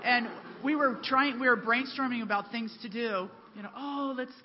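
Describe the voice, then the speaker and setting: A woman sharing an anecdote in a group, animated and laughing as she tells it. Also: on the high side, normal speed, very noisy. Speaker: church group member. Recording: echoey hall, dull sound.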